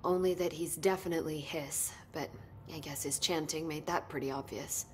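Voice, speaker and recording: An actress playing an eager, nerdy research scientist in a video game, chatty, pedantic and easily sidetracked. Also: female voice, low voice, steady tone, normal speed, a little hiss or hum, close to an everyday voice. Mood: keen, analytical. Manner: chatty, pedantic, rambling about details. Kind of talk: video game dialogue acting.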